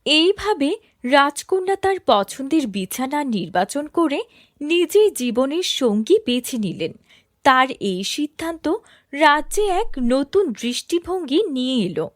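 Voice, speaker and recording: A woman's voice: high voice, very animated, unhurried, no room sound, studio quality. A woman narrating a scripted fairy tale as a storyteller.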